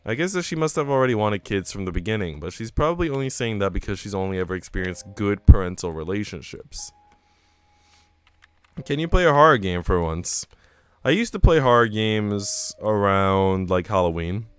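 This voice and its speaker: A man's voice: lowish voice, very animated, normal speed, a bit bright, smooth. A man live-streaming a visual novel, reading it aloud and chatting casually in slangy asides. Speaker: game streamer. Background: music.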